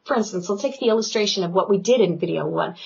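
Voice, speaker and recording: A woman vocal coach giving an online singing lesson, talking in a loud, animated and playful way. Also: medium pitch, fast talker, creaky, breathy edge. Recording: dull lo-fi sound.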